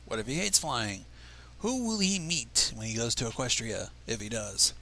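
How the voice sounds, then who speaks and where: A man reading a story aloud on a livestream in an expressive, informal, theatrical and irreverent way. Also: medium pitch, normal speed, slight background noise. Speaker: fan fiction reader on a livestream.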